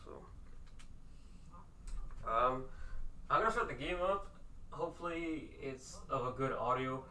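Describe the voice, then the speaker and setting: A man's voice: medium pitch, expressive, unhurried, sharp tone, poor audio. A man who is sick, talking casually and self-consciously into a desk microphone at home as he sets up a game stream, with restarts and fillers. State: sick, with a lowered voice. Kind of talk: casual live-stream chat. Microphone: desk USB microphone, with headphones on.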